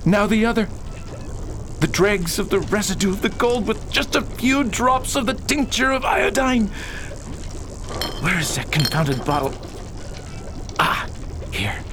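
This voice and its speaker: An actor playing an obsessive, paranoid, bitter alchemist father in an old-fashioned radio drama, with halting, declamatory delivery. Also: high-pitched, sing-song. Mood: rapt, muttering. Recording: clearly noisy radio play recording.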